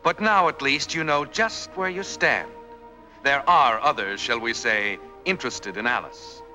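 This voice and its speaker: An actor playing a knowing, taunting narrator in a 1940s mystery film, with a sardonic, insinuating manner. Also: male voice, mid-range voice, a little slow, sharp tone. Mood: sly, ominous. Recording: 1940s film soundtrack with music.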